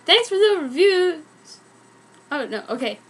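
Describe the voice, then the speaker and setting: A woman with a notably high voice narrates amateur fan fiction as a dramatic reading. Her delivery is theatrical, and she sounds easily amused by the material. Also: big pitch swings, unhurried. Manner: theatrical, often breaking into giggles.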